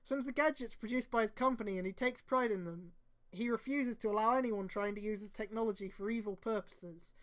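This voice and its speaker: A woman's voice: mid-range voice, a little fast. A woman streamer reading fan fiction aloud, with sweary, exasperated commentary and emphatic delivery. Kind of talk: mocking read-aloud with casual commentary.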